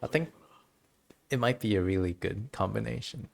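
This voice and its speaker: A man giving casual, giggly game commentary, halting a little as he talks. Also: on the low side, vocal fry, a little mellow. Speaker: game streamer. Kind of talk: casual playthrough commentary with dialogue read aloud.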